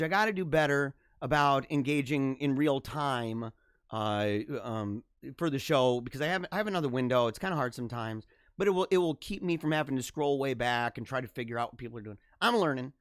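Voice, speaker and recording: A man's voice: medium pitch, lively intonation, brisk, a bit bright, smooth, no echo, clean studio sound. A man hosting a live-streamed talk show, chatting casually with viewers and reading their comments. He chuckles often and repeats phrases as he talks.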